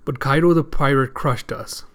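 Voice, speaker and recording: A man's voice: medium pitch, moderate pace, fairly bright tone, lightly acted. Man reading as a resigned, weary prisoner. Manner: resigned, bitter. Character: weary, broken-spirited male prisoner.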